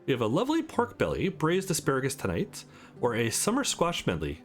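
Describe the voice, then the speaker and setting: Man reading as visual novel waiter: polite, formal, courteous, lively lilting delivery over faint music. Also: medium pitch, brisk.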